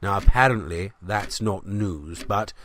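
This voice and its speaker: A man playing a pompous, bumbling small-town newspaper man who rambles in a deadpan way through a comedic audio diary. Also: lowish voice, measured pace, a little hiss or hum. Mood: self-important, rambling. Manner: rambling, self-important, deadpan. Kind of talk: comedic audio diary monologue in 1970s setting.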